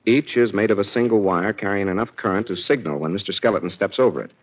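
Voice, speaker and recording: An actor playing a confident, authoritative gentleman detective explaining his plans in 1940s radio style. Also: fairly low pitch, fast talker, lightly acted. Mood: calm, assured. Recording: dull, noisy old radio recording.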